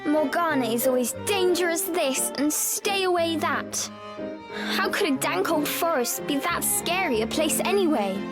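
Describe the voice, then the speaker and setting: A woman voicing a young witch girl with a British accent, an impulsive, expressive, childlike pupil in a storybook video game. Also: high-pitched, measured pace. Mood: indignant. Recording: music loud behind the voice.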